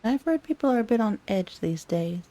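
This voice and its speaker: A woman's voice: on the high side, expressive, fairly quick, mellow. A woman casually commenting on a video game as she plays and reads lines aloud. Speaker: gaming video host. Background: game music.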